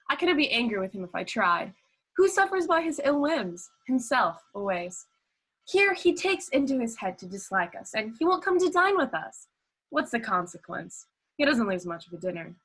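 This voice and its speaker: A woman's voice: fairly high pitch, sing-song, normal speed, a bit bright, breathy edge, heavily acted, rough recording. A young woman acting a cheerful, forgiving relative of a miser in a radio play. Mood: good-humored, forgiving.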